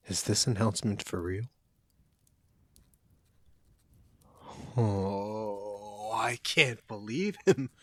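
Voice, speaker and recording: A man's voice: mid-range voice, no room sound, studio quality. A man playing a caring, protective young college friend in an intimate, one-sided audio roleplay, speaking in an earnest, conversational way. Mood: shocked, angry.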